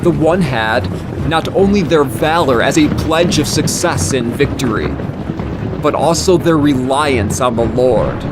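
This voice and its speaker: A man narrating dramatized scripture in a solemn, measured, dramatic way. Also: medium pitch, expressive, very noisy. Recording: loud music under the voice.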